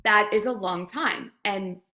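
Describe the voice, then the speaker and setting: A woman's voice: medium pitch, measured pace, bright, forceful tone. A woman guest speaking as a voice demo producer, giving casual advice in an interview chat and acting out imagined reactions.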